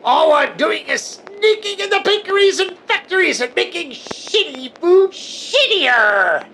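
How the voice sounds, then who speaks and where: A gleeful, unhinged female villain in a comedic audio drama, sneering and cackling at everyone. The voice sits very high and sharp, and the lines are stretched out slowly. A faint hum of ambience lies behind it. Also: lively intonation, full character voice. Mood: sarcastic. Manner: mocking, cackling, profane. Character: gleeful unhinged female supervillain who breaks the fourth wall.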